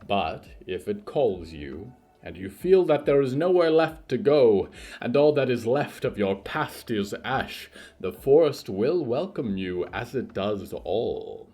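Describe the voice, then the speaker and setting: A man delivering formal, theatrical scripted narration for a horror fiction podcast, in a measured storytelling manner with a husky voice. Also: on the low side, darkish tone.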